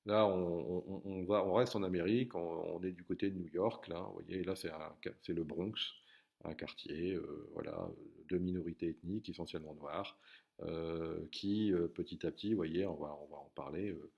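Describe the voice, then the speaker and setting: A man's voice: sits low, fairly even intonation, moderate pace, creaky, dull audio. A man teaching a recorded geography lesson, hesitant, with many fillers and restarts.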